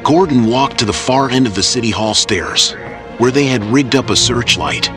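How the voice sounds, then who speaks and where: A man's voice: medium pitch, animated, normal speed. A man narrating an audio drama from a script in dramatic storytelling style. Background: loud music, action sound effects.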